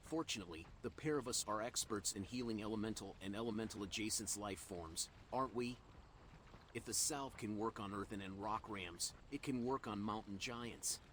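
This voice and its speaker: A man's voice: fairly low pitch, moderate pace, a bit bright, slight background noise, close to an everyday voice. A man voicing a folksy, wry elemental healer who gives out quests in a fantasy game, chatty and teasing. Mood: concerned, upbeat.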